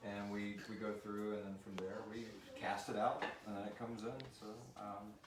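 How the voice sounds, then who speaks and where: A man's voice: lowish voice, flat delivery, normal speed, crowd noise. A man hosting a live question-and-answer session in an informal, rambling, lightly sweary way, easily amused. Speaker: host of a live question-and-answer special for an audio drama. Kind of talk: casual chat, reading out listener questions. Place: live stream with a group of castmates in the room.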